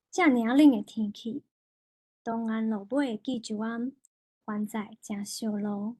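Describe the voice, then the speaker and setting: A woman with a Taiwanese accent recites literature expressively. She takes it very slowly, in a fairly high voice with a mellow, husky grain. The sound is close and dry. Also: no room sound. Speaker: poetry reader.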